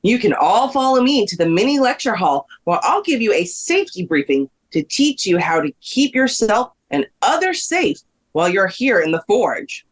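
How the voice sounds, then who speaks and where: A woman's voice: medium pitch, very animated, normal speed. A woman playing a helpful museum workshop instructor, welcoming and explanatory, in a table read.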